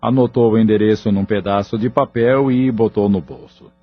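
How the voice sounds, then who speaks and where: A man's voice: on the low side, steady tone, normal speed. A man reading scripted narration for an audio novel as its narrator. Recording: muffled lo-fi audio, background music.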